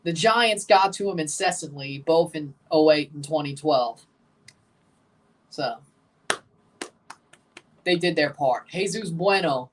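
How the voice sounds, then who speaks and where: Opinionated man reacting to soccer live. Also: far from the mic. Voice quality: low voice. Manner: opinionated, blunt hot takes; excitable, jumps high in pitch when agitated. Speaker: livestream host reacting to a soccer match. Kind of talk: casual livestream commentary, answering chat questions.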